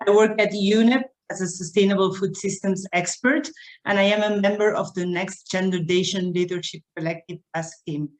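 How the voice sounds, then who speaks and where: A mid-pitched woman from Uruguay, the expert panelist reading her prepared part at an online conference. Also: normal speed, low-quality recording. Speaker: task team member, sustainable food systems expert. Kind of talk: prepared presentation at an online event.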